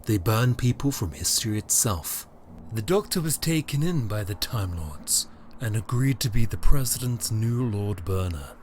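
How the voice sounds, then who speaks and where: A man playing a scheming, menacing emperor who narrates an audio drama prologue in a theatrical, deliberate way. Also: fairly low pitch, animated, darkish tone, a little hiss or hum, natural voice. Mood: grand, menacing.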